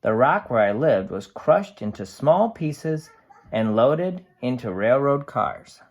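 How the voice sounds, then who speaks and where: Storybook aluminum can, acted by an adult reader: cheerful, wide-eyed, unhurried, with very animated pitch. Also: muffled recording, heavily acted. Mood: excited, wistful. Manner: bubbly, wide-eyed, expressive storybook delivery. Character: cheerful, excitable aluminum can writing diary entries.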